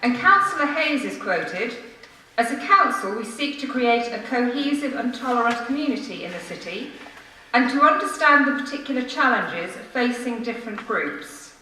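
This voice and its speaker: A woman reads a formal prepared speech to a council meeting, measured and earnest, with emphatic phrasing. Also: on the high side, expressive, sharp tone. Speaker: member of the public addressing a city council. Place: large council meeting room, indoors. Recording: echoey room from far off, faint noise.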